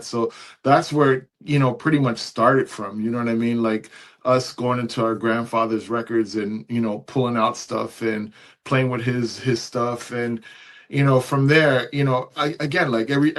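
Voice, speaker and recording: Relaxed middle-aged man, easygoing guest. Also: medium pitch. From born in Canada, raised in New York City. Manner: relaxed, keeps saying "you know what I mean". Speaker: interview guest, DJ. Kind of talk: casual interview conversation.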